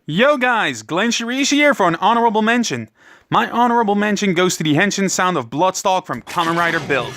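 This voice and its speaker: Male guest, high voice, lively intonation, casual commentary, faint music. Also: a little fast, dry recording, studio quality.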